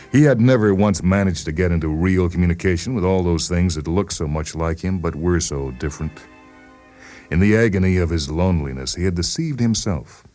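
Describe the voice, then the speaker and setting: A man narrating a literary short story in a deliberate, brooding way with dramatic pauses. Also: sits low, darkish tone. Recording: music behind the voice. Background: music.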